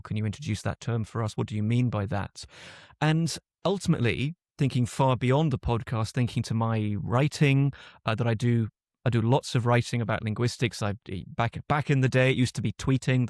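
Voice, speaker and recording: A man's voice: medium pitch, darkish tone, no echo, studio quality. A British man, a guest author and linguist, giving thoughtful answers in a podcast interview and often restarting his sentences.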